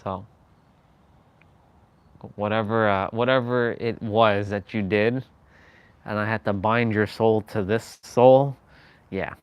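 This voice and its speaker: A man from Canada chats loosely with a viewer on his live stream. His voice sits fairly low, and he rambles and hunts for words. He laughs often. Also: fairly even intonation, slow, pauses often, clean tone, no room sound. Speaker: livestream host. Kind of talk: casual live-stream chat.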